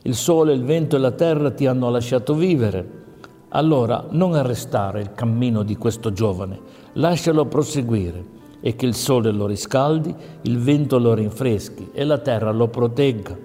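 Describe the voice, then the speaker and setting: A man voicing a brave old mother in a fairy tale, resolute, loud and earnest. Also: medium pitch, normal speed, long reverb tail, faint music behind, lightly acted.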